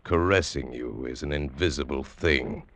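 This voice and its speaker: A man announcing and setting the scene of a vintage radio drama in an ominous, deliberate way. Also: very deep voice, a bit bright, no room sound. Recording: old radio broadcast over music.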